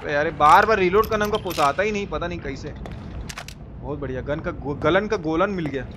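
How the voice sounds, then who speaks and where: A man's voice: a little high, a little fast, noisy background. A man with a Bihari accent giving colloquial, slangy live gameplay commentary, playfully repeating his phrases. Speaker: game streamer.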